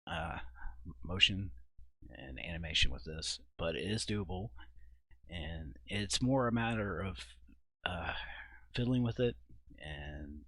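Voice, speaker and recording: A man giving an informal software walkthrough at his computer, thinking aloud in a halting, casual way. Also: deep voice, lively intonation, no room sound. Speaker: video creator and host. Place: indoors at a computer.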